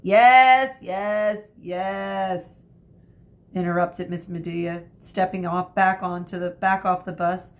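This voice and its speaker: A woman teacher reading a storybook aloud to students, adding explanatory asides in a deliberate way. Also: lowish voice, completely flat delivery.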